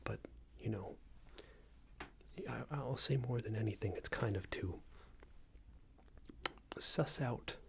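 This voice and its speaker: A man playing a smug, unreasonable boss in an ASMR roleplay, scolding an employee in a soft breathy whisper with a hesitant, condescending manner. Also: takes it slowly, pauses often, noisy background. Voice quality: breathy soft whisper with low creaky murmur. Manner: hesitant, condescending, meandering reprimand.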